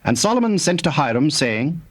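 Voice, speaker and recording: A man narrating scripture from a script in a formal, measured manner. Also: on the high side, big pitch swings.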